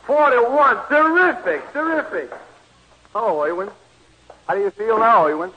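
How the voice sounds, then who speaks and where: An actor playing a scheming, excitable New York horse-betting hustler in a 1940s radio comedy, slangy, bossy and cajoling. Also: male voice, very high-pitched, moderate pace, dull audio. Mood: excited, cajoling. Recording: noisy, crackly 1940s radio broadcast.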